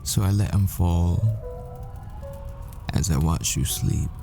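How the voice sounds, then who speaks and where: Low, slow-speaking haunted boyfriend, acted by a man. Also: gravelly, exaggerated voice. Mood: wistful, tender. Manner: halting, wistful, confessional, with short broken phrases. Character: tender, haunted boyfriend, a math professor and assassin. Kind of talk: intimate scripted audio roleplay monologue. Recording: music behind the voice. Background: music.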